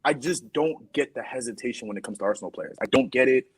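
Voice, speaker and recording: A man's voice: mid-range voice, fast, background noise. A man giving an exasperated rant about refereeing as a panelist on a football chat show, often repeating himself. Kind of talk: casual heated football chat.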